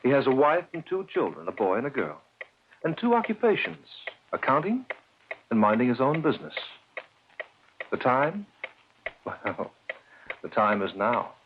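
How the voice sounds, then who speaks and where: An actor narrating a 1940s radio drama as a wry, sardonic storyteller who speaks of chance as a person. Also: male voice, medium pitch, big pitch swings, natural voice. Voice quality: sardonic, measured. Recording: tinny old radio recording, hiss.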